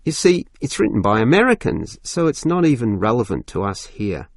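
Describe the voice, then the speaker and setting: A man's voice: medium pitch, very animated, normal speed, no echo. A man acting a blunt, complaining university student in a scripted exam dialogue. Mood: grumbling, blunt. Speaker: student in a listening test dialogue.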